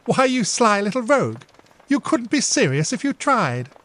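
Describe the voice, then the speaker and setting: A poised, warm-hearted elder sister, as a male reader puts her on, teasing and exclaiming in a high, lively voice that leaps up and down. She stays dignified even when she scolds. It is a storybook voice for an audiobook. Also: normal speed.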